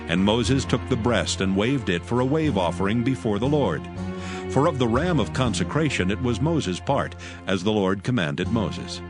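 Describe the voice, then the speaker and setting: A man narrating scripture from a script in a solemn manner, with measured phrasing. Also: mid-range voice. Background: music.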